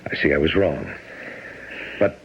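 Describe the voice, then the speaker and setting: Deep-voiced, probing military captain. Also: male voice, sing-song, gravelly, breathy edge, full character voice. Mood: politely apologetic. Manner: formal, deliberate, interrogating. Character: stern, probing male military captain, coolly suspicious. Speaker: radio drama actor. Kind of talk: old-time radio mystery acting. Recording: muffled, noisy old radio drama recording.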